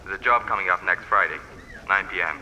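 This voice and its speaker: A man's voice: sits low, a little fast, pushed and bright, highs cut off. An actor playing a laid-off man in debt who is evasive and then pleading, in an amateur short film. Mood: desperate.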